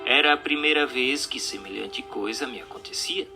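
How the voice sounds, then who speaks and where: A Brazilian man reading a scripted audiobook narration in a deliberate, articulate way with emphatic phrasing. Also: medium pitch, creaky, over music.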